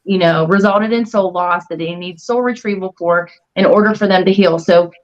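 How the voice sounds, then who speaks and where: A woman's voice: fairly low pitch, moderate pace. A woman on a podcast, explaining things in an articulate way. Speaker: podcast guest or co-host. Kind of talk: casual podcast talk. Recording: dull lo-fi audio.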